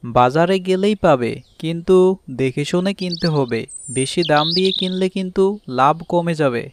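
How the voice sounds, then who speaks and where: A man's voice: on the high side, normal speed, background noise, natural voice. A man voicing a husband bird in a children's moral cartoon, explaining things patiently and fairly. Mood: firm, encouraging. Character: husband bird with two wives, advising and fair.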